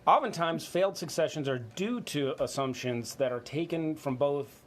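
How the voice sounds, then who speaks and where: A man's voice: fairly high pitch, animated, moderate pace, a little hiss or hum. A man co-hosting a business discussion, moving between scripted points and informal, conversational remarks. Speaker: co-host of a business talk show.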